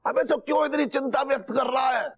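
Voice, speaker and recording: A hoarse, high, shouty husband. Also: male voice, brisk. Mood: brusque. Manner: shouts curt, mocking questions. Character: hoarse-voiced middle-aged husband, brusque and impatient.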